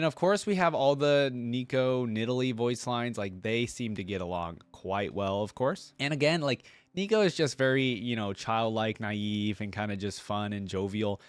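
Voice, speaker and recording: A man's voice: on the low side, clear, resonant tone, no room sound. A man hosting a reaction video, giving informal, chatty, easily amused commentary.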